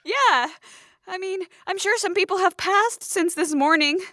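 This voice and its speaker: A woman's voice: extremely high pitch, unhurried, bright, forceful tone, no room sound, studio quality. A woman improvising in-character dialogue as a player in a roleplay podcast.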